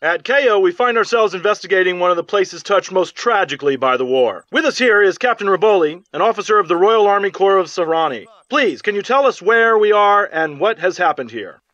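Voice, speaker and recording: An earnest male war correspondent reports in a measured, serious way for a game's newscast. His voice is high, and the sound is dry and slightly dull. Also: expressive, a bit bright, dry recording. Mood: serious, concerned. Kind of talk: TV field report in a game cutscene. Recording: slightly dull, muffled audio.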